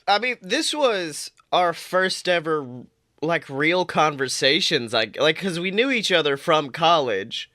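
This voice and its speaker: A young man co-hosting a podcast, chatting casually and playfully with lively, mock-outraged reactions. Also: a little high, measured pace, no room sound, studio quality. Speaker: podcast co-host. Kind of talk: casual chat.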